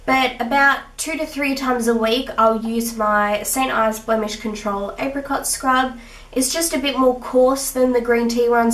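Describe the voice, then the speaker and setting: A young woman with a sick, husky rough voice, chatting through her skincare routine with little laughs. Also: on the high side. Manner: chatty, giggly, with fillers. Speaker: beauty vlogger. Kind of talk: casual skincare tutorial. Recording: echoey room, mic at a distance.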